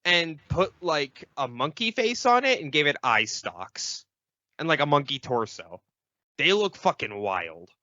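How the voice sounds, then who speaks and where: Animated man, bright dry podcast voice. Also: fairly high pitch, unhurried, no room sound. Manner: casual, hesitant, sweary. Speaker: podcast host presenting a segment. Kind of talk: casual banter about a fan wiki. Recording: dull lo-fi podcast audio.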